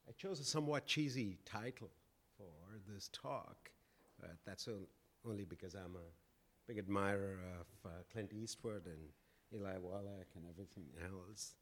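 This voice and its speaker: A man lecturing on economics to an audience, with a loud voice and frequent fillers and restarts. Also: fairly low pitch. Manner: loud, halting with many fillers. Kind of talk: public lecture.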